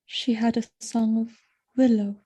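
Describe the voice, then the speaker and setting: Woman acting a high-pitched, slow, earnest young wife. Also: little pitch movement, warm tone. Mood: sad resignation. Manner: earnest, pleading, measured. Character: loyal, innocent, distressed young noble wife. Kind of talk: Shakespearean audio drama acting.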